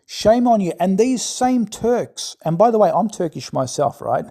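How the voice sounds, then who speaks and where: A man's voice: high voice, very animated, a little slow, gravelly, warm tone. A man in an impassioned, scolding monologue who keeps asking "right?". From Australia. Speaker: commentator.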